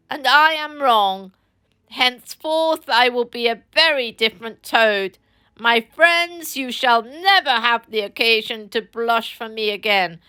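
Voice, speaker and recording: A woman's voice: high voice, pushed and bright, pitched above the performer's own voice, heavily acted. A woman voicing a vain, boastful male toad who speaks in a theatrical, self-pitying way.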